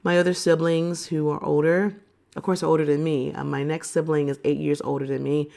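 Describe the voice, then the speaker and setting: A woman of about fifty, recovering from a cold, talking to camera in a reflective, unhurried and candid way. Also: sits low, level tone, vocal fry, warm tone. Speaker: vlogger. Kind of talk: personal reflective talk to camera.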